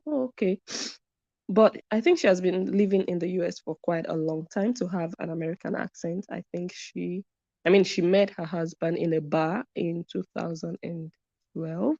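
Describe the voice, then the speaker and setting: A woman talks through a live stream, reading viewers' comments and driving her points home by saying them twice. Her voice sits a little low and sounds warm and mellow. The recording is dry and a bit dull, with a lo-fi edge. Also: normal speed, vocal fry, dry recording. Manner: emphatic, repeats points for stress. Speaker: commentary channel host.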